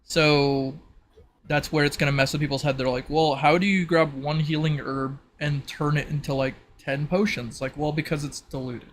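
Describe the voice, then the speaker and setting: A man's voice: a little high, level tone, moderate pace, a little hiss or hum. A man casually explaining game rules in an informal, rambling way, as if thinking aloud. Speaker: tabletop roleplay participant explaining game rules.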